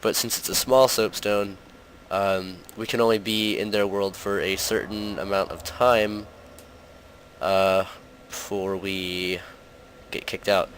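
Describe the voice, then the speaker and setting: A young man hosting a gaming channel, talking through gameplay in a casual, rambling way full of fillers, his voice slightly hoarse from a cold. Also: sits low, level tone, slow pace, a bit bright, slight background noise.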